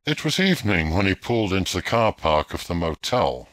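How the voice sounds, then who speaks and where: A man reads a scripted ghost story as narrator, in a measured, dramatic storytelling manner. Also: sits low, very animated, fairly bright tone, no room sound. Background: music.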